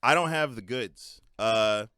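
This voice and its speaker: A young man co-hosting a relaxed podcast conversation. He talks in an animated, playful way and keeps breaking into laughter. His voice sits at an ordinary pitch and sounds dry and close, with no room around it. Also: slow, clear, resonant tone, no room sound. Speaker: podcast co-host. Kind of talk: casual podcast chat.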